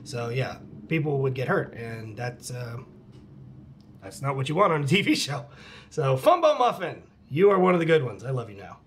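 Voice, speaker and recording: A man's voice: mid-range voice, very animated, normal speed, a little hiss or hum. A middle-aged man at home, casually reading and answering fan comments, laughing often and dropping into muttered asides. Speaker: channel host answering fan questions. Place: at home with his cat.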